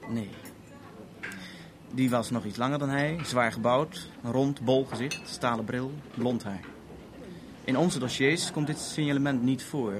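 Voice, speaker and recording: A male actor as an urbane French police officer in an old radio play, heard through a noisy recording. He is wordy and measured, dryly ironic, with a cool edge of warning in his formality. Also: medium pitch, natural voice. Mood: businesslike. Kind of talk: 1970s Dutch radio drama acting.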